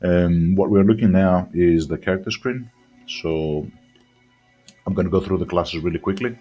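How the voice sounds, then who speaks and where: A man with a mixed accent that is partly Greek-sounding, raised in Australia, reviewing a game in a casual, meandering way. His voice sits very low and sounds husky. He hesitates a lot and often starts his sentences over. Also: moderate pace, dull audio, faint music behind. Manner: rambling, hesitant, many ums and restarts. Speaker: gaming channel host.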